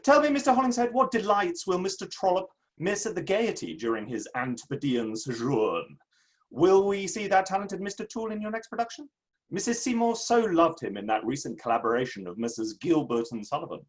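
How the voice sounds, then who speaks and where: A man's voice: sits high, animated, brisk. A man in a play reading, acting a florid, theatrical Victorian playwright with a declamatory manner. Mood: effusive. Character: flowery, theatrical, litigious Victorian playwright and gentleman.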